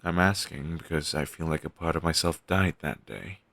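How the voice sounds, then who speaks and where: A man playing a pessimistic zombie best friend with dark sarcasm, speaking haltingly in an intimate audio roleplay. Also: a bass voice, creaky, no echo, full character voice. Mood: troubled, confessional. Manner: halting, sighing, sardonic delivery with trailing hesitations.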